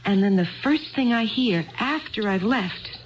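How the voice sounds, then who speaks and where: An actress in a 1970s radio drama playing a troubled, tipsy wife who confides earnestly and ramblingly in a bartender. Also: female voice, a little high, lively intonation, unhurried. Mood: earnest, confiding. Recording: dull 1970s radio broadcast recording.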